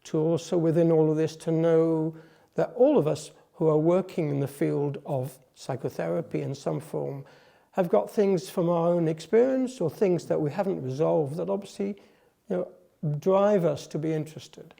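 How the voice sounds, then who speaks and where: A man who is a psychotherapist and whose first language is French speaks reflectively in an interview, pausing often and restarting as he searches for words. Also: a little high, creaky, dark tone. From France, Netherlands, US, England; a mixed upbringing. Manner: thoughtful, searching for words, many ums and restarts.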